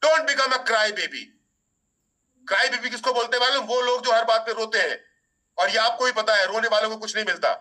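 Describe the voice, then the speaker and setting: Brief: high, very bright male trainer, rousing and preachy, recorded from a distance. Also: normal speed. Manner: rousing, uses battle metaphors, mimics whiny excuses. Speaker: network marketing trainer. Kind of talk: motivational training talk.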